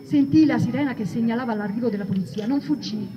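High voiced woman doing a theatrical memoir reading, far from the mic w crowd noise behind. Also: fast. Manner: theatrical, acts out shouts and quoted speech. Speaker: reader at a theatre event. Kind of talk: dramatic reading of a first-person memoir. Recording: distant mic, dull sound, audience noise.

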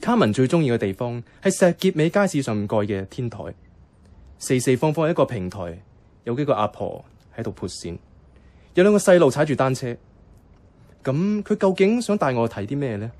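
A man's voice: mid-range voice, big pitch swings, moderate pace, a little mellow, close to an everyday voice. An actor playing a bemused, reluctant young man who narrates and talks in a radio drama, wry and questioning, with a Hong Kong Cantonese accent. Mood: reflective. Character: bemused, reluctant young male companion narrating a night walk.

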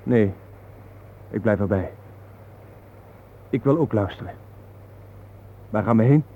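An actor playing a troubled, weary family man, earnest, halting and defensive, in a naturalistic radio drama. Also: fairly low pitch. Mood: uneasy, resolute. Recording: tinny narrow-band old radio recording, hissy.

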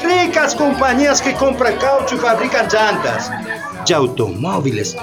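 Swooping, festive Brazilian carnival announcer. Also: female voice, on the high side, expressive, measured pace, loud music behind the voice, a loud crowd. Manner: theatrical, exclamatory, repeats phrases. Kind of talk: radio drama acting.

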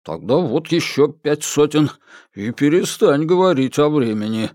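A man's voice: a little high, animated, measured pace, a little mellow, no room sound, clean studio sound. A man voicing a wealthy, confident foreign man in an audiobook, terse, cool and forward. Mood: assertive. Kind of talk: audiobook character voice.

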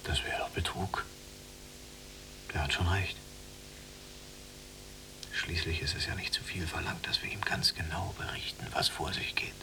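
An actor playing a yielding, resigned younger prisoner in a radio drama, with terse, dry phrasing. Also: male voice, low-pitched, flat delivery, measured pace, creaky, long pauses, in a whisper. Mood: dry, reproachful. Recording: distant mic, noisy hum.

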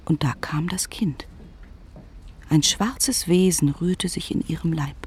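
A woman narrating scripted literary prose with a breathy, gravelly voice. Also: deep voice, takes it slowly.

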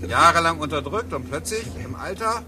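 A man's voice: on the high side, expressive, a little fast, natural voice. An actor in a radio play playing a wry, chatty crewman with an exasperated streak. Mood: wry exasperation. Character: wry, chatty crewman grumbling about his mother. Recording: noisy, vehicle sounds behind.

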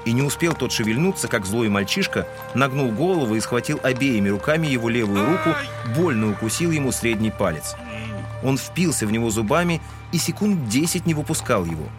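A man's voice: medium pitch, lively intonation, brisk. A man giving scripted literary narration in an audio drama. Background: music.

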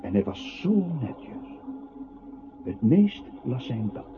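A man's voice: mid-range voice, very animated, slow pace, music in the background. A male actor playing a mocking disembodied voice that haunts a man's mind, narrating theatrically in a radio play with incantatory repetition. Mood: brooding narration. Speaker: radio drama narrator-character. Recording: thin phone-like old radio recording.

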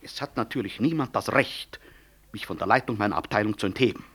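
An actor in a 1960s German radio play, playing a proud, defiant professor and director who speaks in formal, ironic rhetoric. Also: male voice, fairly low pitch, fast talker, fairly bright tone, breathy edge. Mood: proud, curt.

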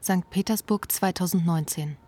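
A woman's voice: fairly low pitch, moderate pace, very noisy, lightly acted. A woman reading formal scripted announcements for a radio drama series. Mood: measured. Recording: loud music over the voice.

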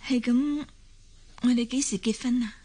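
High-voiced, possessive young girlfriend played by a woman, Cantonese. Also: fairly even intonation, moderate pace, warm tone, close to an everyday voice. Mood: pressing. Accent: Hong Kong Cantonese. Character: doting, pushy young female hospital assistant, possessive girlfriend. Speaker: radio drama actor. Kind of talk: radio drama acting.

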